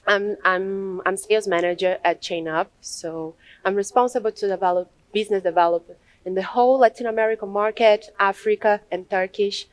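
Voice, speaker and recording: A woman's voice: mid-range voice, fairly even intonation, brisk, dry recording, poor audio. A young woman from Brazil with a Brazilian accent, giving a hesitant conference presentation at a podium, with many restarts and fillers. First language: Portuguese. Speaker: conference speaker. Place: large conference hall, at a podium.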